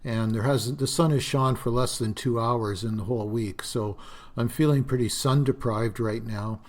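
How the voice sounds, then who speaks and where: A man in his sixties hosting a solo podcast, talking in a rambling, reflective way with frequent fillers; his voice is slightly raspy and dry. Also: medium pitch, normal speed, darkish tone. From Canada. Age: 60s. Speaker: podcast host.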